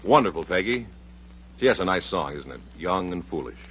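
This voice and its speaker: An American man hosting a vintage radio variety show, delivering scripted patter as an announcer with a husky voice. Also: low voice, very animated, moderate pace, a bit bright, a little hiss or hum. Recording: dull vintage radio recording.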